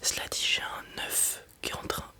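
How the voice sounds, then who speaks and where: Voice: moderate pace, bright, forceful tone. A person whispers an ASMR roleplay as a breeder advising a customer, explaining informally step by step with hesitations and little check-ins.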